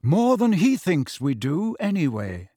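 Man acting a calm, firm peacemaker, expressive intonation. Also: on the high side, unhurried, slightly rough, no room sound, studio quality, pitched above the performer's own voice, full character voice. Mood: knowing.

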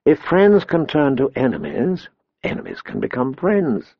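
A proud, cold queen who taunts and schemes, as a male audiobook reader puts her on. The voice sits a touch high for a man, and the sound is dry and a bit dull, with no room around it. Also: expressive, a little slow, no room sound. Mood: seductive hush. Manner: scornful, taunting. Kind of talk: audiobook character voice.

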